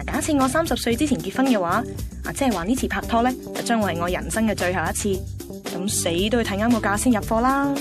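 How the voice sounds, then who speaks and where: A woman's voice: on the high side, animated, quick pace, music in the background, close to an everyday voice. A woman with a Hong Kong Cantonese accent playing a picky, chatty host in her twenties, narrating a radio drama conversationally. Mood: playful, confiding. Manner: cheeky, self-assured, conversational.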